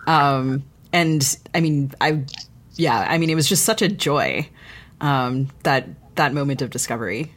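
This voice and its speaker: A woman co-host speaks in an effusive, conversational way during an interview. Her voice sits very low for a woman. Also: measured pace. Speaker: podcast co-host.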